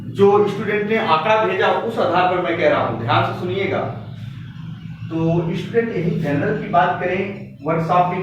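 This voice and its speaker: A man giving an informal lesson to students as a coaching teacher, emphatic and repetitive, speaking directly to his listeners. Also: sits high. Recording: very echoey room, distant mic, dull, faint noise.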